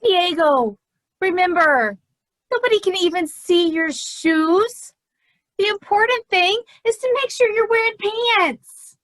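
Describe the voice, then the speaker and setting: Woman as dreamy, dramatic community theater actress, high-pitched, lingering delivery, web farce. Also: expressive, measured pace, a bit bright, full character voice. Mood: chiding. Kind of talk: farcical web comedy acting.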